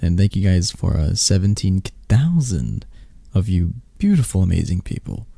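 Male ASMR host: low, gravelly, casual, soft-spoken chat to his audience. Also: very animated, moderate pace, no echo. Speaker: ASMR voice actor and channel host. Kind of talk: casual thank-you to listeners.

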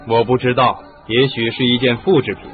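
A man's voice: mid-range voice, level tone, brisk, faint music behind, close to an everyday voice. Man acting skeptical inspector, narrow phone-like sound. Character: skeptical, firm male police inspector. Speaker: radio drama actor. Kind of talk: radio drama acting. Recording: muffled phone-like radio recording.

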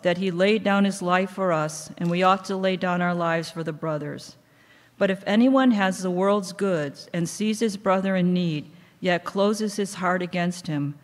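Woman reading scripture in a reverberant church. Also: lowish voice. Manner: measured, steady reading cadence. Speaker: lay scripture reader. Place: church sanctuary, indoors. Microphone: microphone on a stand at a lectern. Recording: long church hall reverb.

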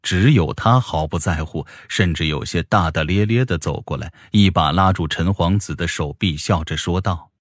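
A man's voice: low voice, normal speed, few pauses, dry recording, studio quality. A man reading scripted fantasy novel narration as an audiobook narrator.

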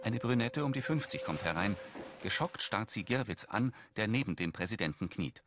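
Low-pitched male audio description narrator. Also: animated, normal speed, loud music behind the voice.

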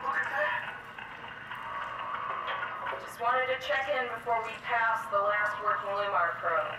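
A woman playing a brisk expedition leader over radio. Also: mid-range voice, animated, lightly acted. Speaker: expedition crew member in a sci-fi game. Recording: distant crackly radio with loud noise and heavy echo.

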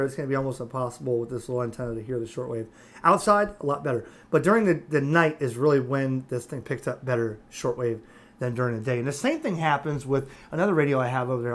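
A man giving a casual, unscripted product review, talking conversationally and haltingly as he thinks aloud. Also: mid-range voice, fairly bright tone. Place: indoors at a table.

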